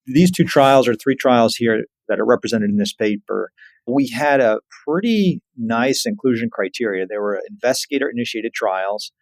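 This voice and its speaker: A man's voice: medium pitch, animated, normal speed, no room sound, rough recording. A man speaking as an expert guest in an interview, explaining things in informal, plain wording. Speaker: surgical oncologist, podcast guest.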